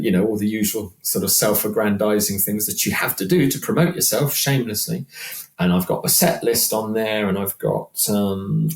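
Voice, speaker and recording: A British man aged sixty chatting casually as an interview guest, rambling and self-deprecating. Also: lowish voice. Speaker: podcast guest, working function singer. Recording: roomy, echoing sound.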